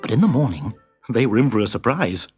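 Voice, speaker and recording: A man narrating a children's story in an expressive, dramatic storytelling style. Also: on the low side, quick pace, over music.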